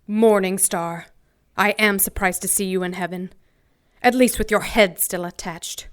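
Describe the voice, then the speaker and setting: A woman playing an ancient, proud warrior archangel in an audio drama, speaking in a grave, formal, measured way. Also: mid-range voice, fairly even intonation, smooth, natural voice. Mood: cold, guarded.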